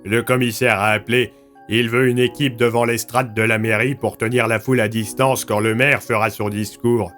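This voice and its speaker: An actor playing an easygoing, joking police lieutenant in an audio drama, casual and wry. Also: male voice, medium pitch, little pitch movement, moderate pace, fairly bright tone, faint music behind, natural voice.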